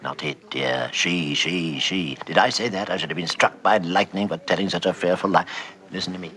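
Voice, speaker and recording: An actor playing an elderly, doting husband with grandiose, old-fashioned phrasing in a vintage television drama. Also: male voice, low voice, normal speed, fairly bright tone. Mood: wistful pride. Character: doting old husband, sentimental, courtly ship lover.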